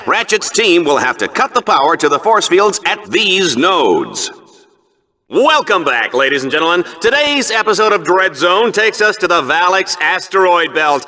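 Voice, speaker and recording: An actor playing a flamboyant, vain deathmatch show announcer in a video game, with sarcastic, theatrical sports-style commentary. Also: male voice, high-pitched, pushed and bright, exaggerated voice. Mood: showy hype.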